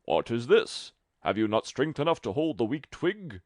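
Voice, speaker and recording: A man's voice: medium pitch, big pitch swings, normal speed, no room sound, clean studio sound, voice pushed up. A man voicing a big blustering giant in a fairy tale, challenging and scornful. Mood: mocking disbelief.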